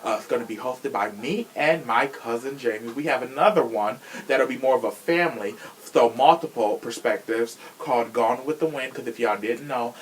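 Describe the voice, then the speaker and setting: A man's voice: mid-range voice, normal speed, hardly any pauses, slight background noise, low-quality recording. A young man hosting a podcast in a casual, opinionated chat, talking in a sassy, slangy and emphatic way. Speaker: podcast host.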